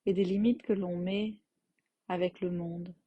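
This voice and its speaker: Very slow, soft-edged woman guiding meditation. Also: on the low side, steady tone, slightly rough, dry recording, highs cut off. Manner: gentle, unhurried phrasing with long pauses. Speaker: yoga nidra teacher guiding relaxation. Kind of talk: guided meditation, slow soothing instruction.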